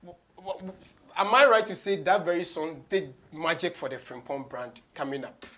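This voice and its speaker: A Ghanaian man in his thirties hosting a conversational interview, animated and emphatic, mixing English with Twi. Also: on the high side, normal speed. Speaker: show host. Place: small office studio, indoors.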